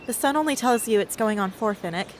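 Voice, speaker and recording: A woman's voice: fairly high pitch, animated, quick pace, lightly acted. A woman playing an anguished, protective teenage girl fighting to survive in an amateur radio drama, urgent and emotional. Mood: tense. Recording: noisy, with birds and outdoor ambience.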